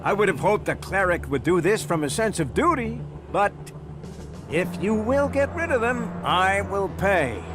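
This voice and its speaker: A man's voice: high-pitched, expressive, measured pace, music in the background. An actor playing a fussy, anxious male city official in a video game, easily flustered. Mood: relieved, hopeful. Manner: flustered, stammering when rattled. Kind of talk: video game dialogue acting.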